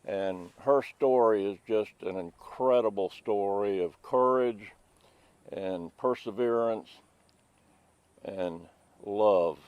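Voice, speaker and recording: A man in his seventies, a Vietnam helicopter pilot veteran, telling a story in a slow, measured way in an interview. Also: lowish voice, a little mellow, clean tone, dry recording. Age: 70s.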